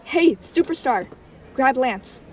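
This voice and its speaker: A woman's voice: sits high, animated, slow pace, distant mic. Woman acting sarcastic dream watcher, music behind. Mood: wry, alert. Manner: sarcastic, brusque. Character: sarcastic, gruff but protective adult dream watcher. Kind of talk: audio roleplay acting.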